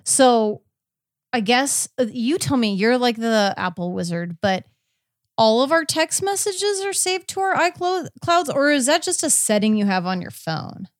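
A woman's voice: fairly high pitch, big pitch swings, measured pace, no room sound, studio quality. A woman hosting a podcast, chatting casually as she recaps a case and explains it step by step. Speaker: podcast host.

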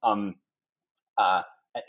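A man's voice: fairly low pitch, steady tone, drawn-out words, phone-quality sound. A man from Europe, a podcast guest speaking thoughtfully, often restarting and repeating words as he thinks. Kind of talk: podcast conversation.

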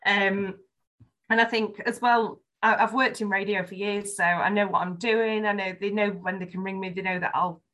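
A woman's voice: mid-range voice, steady tone, normal speed, a bit bright. A woman with a Yorkshire accent, speaking frankly and earnestly as a podcast guest in a conversational interview, with frequent ums. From Yorkshire, England.

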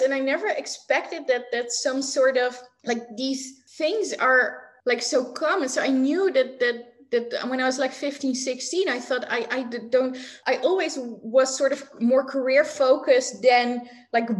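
A woman in her forties, a writing teacher talking casually as a guest, chatty with many fillers and light laughs. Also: high voice. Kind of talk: casual interview chat.

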